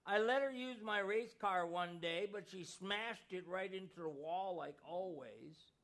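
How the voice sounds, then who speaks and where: A man's voice: high voice, a little slow. A man doing a tearful little boy. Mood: sobbing. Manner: choked, sniffling, pleading.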